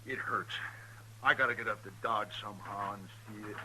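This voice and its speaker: A man's voice: on the low side, fairly even intonation, normal speed, lightly acted. A man playing a hurting, grumbling frontier station hand in an old radio western, plain-spoken. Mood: grumbling, uneasy. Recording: noisy old radio recording.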